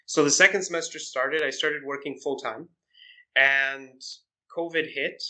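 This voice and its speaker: A young man as a podcast guest, telling stories casually with frequent pauses and restarts. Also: mid-range voice, low-quality recording. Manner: relaxed storytelling, hesitant with "uh" and restarts. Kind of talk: casual chat.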